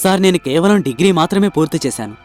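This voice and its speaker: A man's voice: on the high side, expressive, fast talker, a little mellow, soft background music, close to an everyday voice. A man voicing an earnest, hopeful young inventor in a cartoon moral story, explaining himself sincerely. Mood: earnest, respectful.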